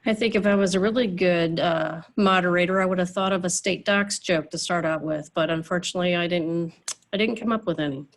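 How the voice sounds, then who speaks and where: A woman's voice: fairly low pitch, brisk. A woman moderating an online meeting over a video call, sounding nasal from a cold, chatty with many fillers and restarts.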